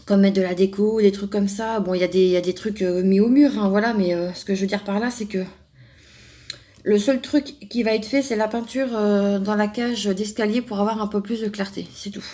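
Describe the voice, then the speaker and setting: A woman's voice: mid-range voice, steady tone, a little fast, dark tone. Chatty young French woman. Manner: chatty, rambling, many fillers and restarts. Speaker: craft YouTuber answering a subscriber tag. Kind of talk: casual chat to camera.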